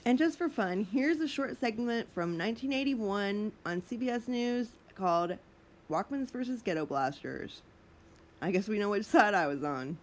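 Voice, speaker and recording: A woman's voice: medium pitch, lively intonation, moderate pace, a little hiss or hum. A woman reading a story aloud informally, with amused, giggly asides of her own.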